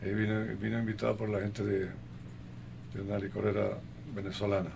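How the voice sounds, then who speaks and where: An older man being interviewed in a conversational way, speaking haltingly and listing things one by one, with a gravelly voice. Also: fairly low pitch, little pitch movement, background noise. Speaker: interview guest.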